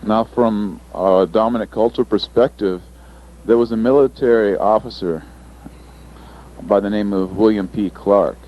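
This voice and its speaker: A man giving a presentation as an interpreter, speaking thoughtfully with pauses and fillers. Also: lowish voice, fairly even intonation. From United States. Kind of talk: recorded panel presentation. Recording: dull lo-fi recording, faint ambience.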